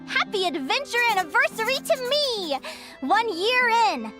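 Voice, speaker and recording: A woman voicing a cheerful, dreamy young female adventurer in a video game, expressive and talking to herself with determination. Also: extremely high pitch, measured pace, over loud music, full character voice. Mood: upbeat. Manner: expressive, exclamatory, talks to herself.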